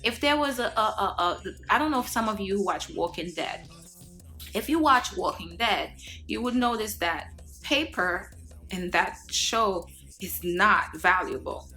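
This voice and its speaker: Bright-voiced woman from Haiti, preachy. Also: medium pitch, lively intonation, a little slow, soft background music. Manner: earnest, preachy, many fillers and restarts. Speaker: vlogger. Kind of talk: casual monologue to camera.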